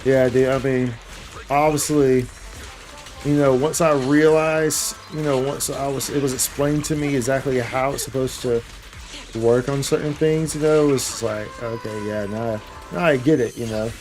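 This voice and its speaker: A man's voice: medium pitch, steady tone, normal speed, darkish tone. Playful man streaming gameplay, music behind. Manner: playful slangy talk with vocal sound effects and repeated phrases. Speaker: game livestreamer. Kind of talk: casual live gameplay commentary.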